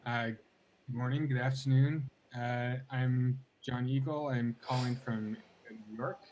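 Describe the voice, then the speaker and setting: A man who is a composer introduces himself softly on a video call. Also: mid-range voice, steady tone, unhurried, highs cut off, slight background noise.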